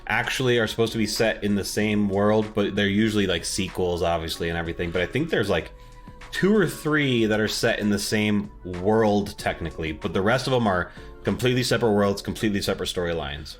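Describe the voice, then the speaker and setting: Guy w a lowish voice podcasting, casual opinionated geek chat, bit of music under it. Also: fairly quick. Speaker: podcast co-host. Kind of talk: casual chat about movies and video games.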